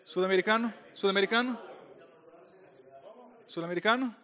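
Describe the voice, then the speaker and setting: A man's voice: high voice, moderate pace, fairly bright tone. A middle-aged man from Brazil, a native Portuguese speaker with a Brazilian accent, giving a playful conference talk as a speaker for a church group. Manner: joking, playful with the audience. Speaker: pastor speaker. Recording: long hall reverb.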